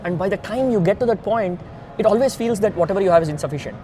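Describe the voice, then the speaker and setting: Male, Indian accent, slightly high register. Earnest, emphatic interviewee explaining step by step over noticeable outdoor traffic noise. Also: quick pace. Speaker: founder and CEO being interviewed. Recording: noisy outdoor ambience with traffic rumble.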